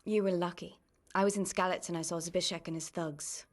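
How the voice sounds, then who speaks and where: A woman's voice: fairly low pitch, steady tone, brisk, close to an everyday voice. Caring, world-weary young medieval woman played by an actress. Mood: calm, caring. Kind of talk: dramatic video game dialogue.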